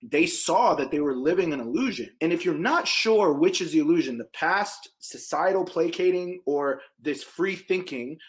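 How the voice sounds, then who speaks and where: A man's voice: expressive, normal speed, hardly any pauses. A man from Egypt, a guest on a podcast, talking bluntly and emphatically in a casual conversation. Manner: emphatic, blunt, swears, big pitch jumps on key points. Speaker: podcast guest, small business owner.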